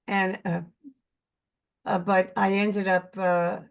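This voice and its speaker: A woman's voice: medium pitch, flat delivery, takes it slowly, creaky. An older actress with a New York accent, talking earnestly in an interview and often repeating words as she searches for them. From Brooklyn, New York. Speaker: actress guest. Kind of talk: casual interview chat.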